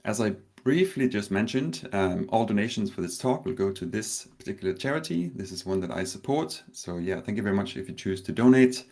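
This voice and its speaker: A man's voice: on the low side, expressive. Danish-born man giving a conference talk. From Denmark. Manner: warm storytelling with pauses, slightly nervous. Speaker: conference speaker. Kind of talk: live online conference talk.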